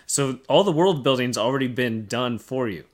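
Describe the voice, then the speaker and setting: A man's voice: mid-range voice, normal speed. A man co-hosting a podcast, talking casually and giving his opinions in an explanatory, emphatic way. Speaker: podcast co-host.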